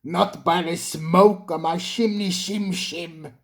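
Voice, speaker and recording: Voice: mid-range voice, steady tone, takes it slowly. A storyteller voicing a timid little male engine who speaks in a shaky, stuttering voice. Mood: defiant.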